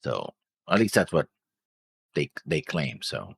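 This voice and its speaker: A man's voice: rumbling low, very animated, slow pace, creaky, husky, dry recording. A man thinking aloud in a casual discussion, hesitant and full of theories. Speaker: anime reaction show co-host.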